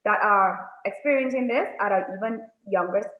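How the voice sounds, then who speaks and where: A woman, speaking softly and hesitantly as a guest on a video call. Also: medium pitch, moderate pace. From Bahamas. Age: 30s. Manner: soft-spoken, hesitant with frequent ums. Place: indoors, small room. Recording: roomy, dull phone audio.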